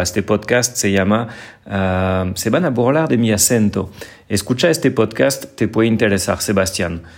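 A man with a fairly low voice speaks Spanish with a strong French accent. He hosts a casual podcast, answering listener questions patiently. He often repeats and restarts small words as he goes. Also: vocal fry. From France. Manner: friendly, explanatory, many small repetitions and restarts. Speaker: podcast host and French teacher.

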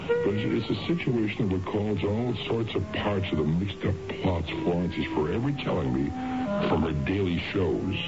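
A man's voice: low-pitched, expressive, normal speed, over loud music. An actor in a radio drama playing an irritable, evasive suburban husband in his forties, speaking in a hesitant, self-justifying mutter. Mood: brooding, confiding. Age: 40s. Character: irritable, evasive forty-five-year-old suburban husband. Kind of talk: naturalistic 1970s radio drama acting. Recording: dull, lo-fi radio broadcast recording.

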